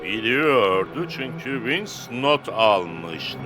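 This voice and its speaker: A man's voice: mid-range voice, lively intonation, extremely slow. A man playing a stern adult football coach in a cartoon dub, firm and admonishing. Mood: confiding. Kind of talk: animated cartoon dub acting. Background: music.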